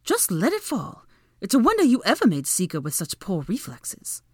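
A woman voicing a sharp-tongued, exasperated young witch in an audiobook, with cutting, sarcastic delivery. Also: fairly high pitch, sing-song, brisk, no echo, studio quality, voice pushed up. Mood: mocking, jabbing. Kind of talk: dramatic audiobook character dialogue.

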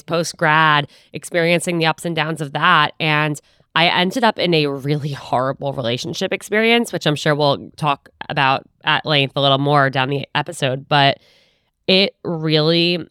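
A woman's voice: low voice, fairly bright tone, smooth, no room sound, studio quality. A young adult woman, a podcast guest, talking earnestly and chattily with plenty of "like" fillers. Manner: earnest, chatty, lots of likes. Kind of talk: casual interview chat.

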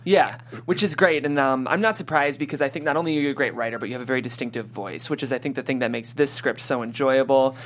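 A man's voice: medium pitch, fast, few pauses, fairly bright tone. A man hosting a script reading and narrating stage directions in a descriptive storytelling style.

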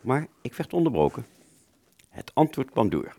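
A man's voice: mid-range voice, sing-song, normal speed, vocal fry, mellow, no echo. A man narrating a first-person story for an audio play, hesitant and conversational, drawing out words and suddenly stressing others.

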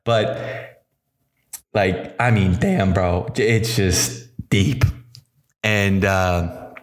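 A man's voice: low-pitched, extremely slow. A man hosting a solo podcast who puts on a New Jersey accent and rambles casually, swearing and dropping fillers. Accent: put-on New Jersey accent. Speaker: podcast host.

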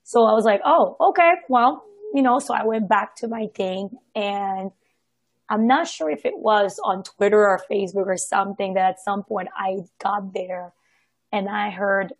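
A woman from Haiti giving a casual, reflective personal account, halting and often circling back to correct herself. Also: mid-range voice, no echo. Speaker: participant sharing personal experience in a group discussion.

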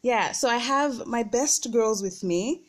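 Young woman, host, casual chat, chatty, a bit high voice. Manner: chatty, quick to laugh.

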